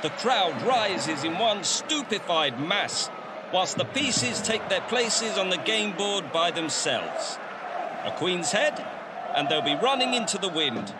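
Man acting a high-pitched, grandiose sports commentator. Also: very animated, slow pace, heavily acted. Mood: dramatic. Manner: dramatic, florid. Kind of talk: mock sports commentary in an audio story. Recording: noisy outdoor ambience behind voice.

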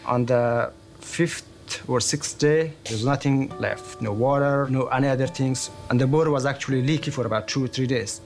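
A man in his thirties from Afghanistan recounting his journey in a documentary interview, plain and thoughtful with pauses. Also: medium pitch, darkish tone, faint music behind. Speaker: interviewee, refugee. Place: studio interview set, indoors.

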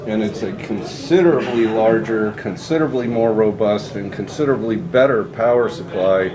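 A middle-aged man who makes audio equipment explains a product's technical details in a measured way, pausing often with "uh" as he lists its specifications. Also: on the low side, crowd noise. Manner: measured, listing specs with frequent "uh" pauses. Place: hotel room at an audio show, indoors. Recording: echoey room with audible background noise.

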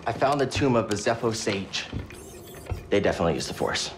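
An earnest young hero in a video game. His voice is slightly low and he talks quickly, understated but determined. Loud music plays behind. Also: expressive. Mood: thoughtful. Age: young adult. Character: earnest, determined young man, Jedi adventurer.

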